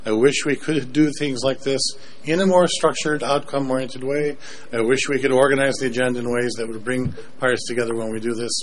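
A man moderating a formal panel discussion in a polite, measured way. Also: medium pitch, fairly even intonation, poor audio.

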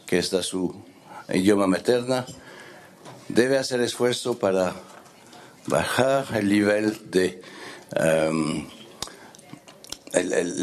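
A man with a French accent addressing a meeting, halting and searching for words as he goes. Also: on the low side, darkish tone. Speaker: board member speaking at a meeting. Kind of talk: meeting remarks. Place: meeting room.

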